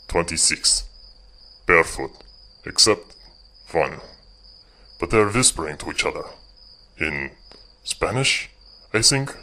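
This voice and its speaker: Man as deep, slow, terse scout. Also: pushed and bright, exaggerated voice, low-quality recording. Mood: watchful, terse. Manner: clipped, deliberate, few words. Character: terse, stoic foreign male scout with keen hearing. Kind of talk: audio drama acting.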